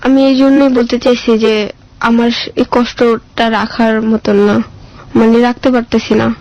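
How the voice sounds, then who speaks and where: Creaky-voiced Bangladeshi woman, plaintive. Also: on the high side, level tone, unhurried, darkish tone, lo-fi sound. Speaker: interview guest. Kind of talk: personal life story told in an interview.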